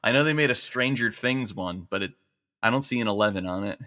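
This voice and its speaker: A man's voice: fairly low pitch, brisk, bright, forceful tone. A man chatting casually as a guest in a podcast skit.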